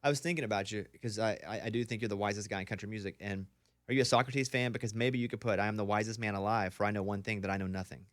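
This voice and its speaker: A man from Arkansas hosting a radio show, asking quick questions and teasing his guest in a casual studio chat. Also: lowish voice, steady tone, no echo. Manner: joking, teasing, fast-talking. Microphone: studio mic.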